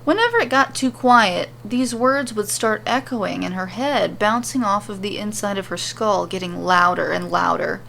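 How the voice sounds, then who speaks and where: A woman's voice: mid-range voice, lively intonation, moderate pace, creaky, few pauses, fairly bright tone. A woman storyteller reading scripted fantasy narration, with ambient sound effects behind her. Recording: faint background noise and ambience.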